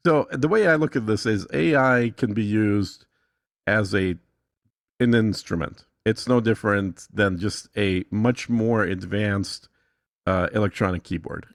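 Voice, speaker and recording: A man's voice: on the low side, unhurried, vocal fry, no room sound, clean studio sound. A man explaining things casually and with assurance, as a guest in a conversation. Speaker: podcast guest demonstrating software.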